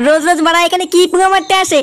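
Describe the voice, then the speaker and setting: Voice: extremely high pitch, steady tone, very fast, fairly bright tone. A performer voicing a jealous, hot-tempered cartoon husband who rants coarsely in a Bengali accent. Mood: suspicious, grumbling. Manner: coarse, ranting, cursing. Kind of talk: Bengali comedy cartoon dubbing. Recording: clear noise with bird chirps.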